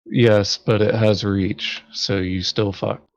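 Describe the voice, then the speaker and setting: A man's voice: on the low side, flat delivery, takes it slowly, lo-fi sound. A man chatting casually during a board game, blunt and laid-back as a co-player.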